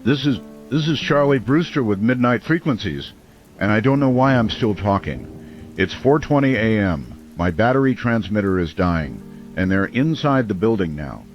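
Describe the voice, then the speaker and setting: A man's voice: lowish voice, lively intonation, moderate pace, close to an everyday voice. A man playing a weary, caring late-night radio host in a radio drama, speaking somberly and earnestly. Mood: grim dread. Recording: dull muffled radio sound over music.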